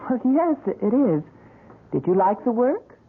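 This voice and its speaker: A gentle young gallery owner. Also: female voice, on the high side, sing-song, unhurried, a little hiss or hum. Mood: polite. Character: kind, concerned young woman gallery owner. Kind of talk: 1970s radio drama acting. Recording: tinny lo-fi old radio broadcast.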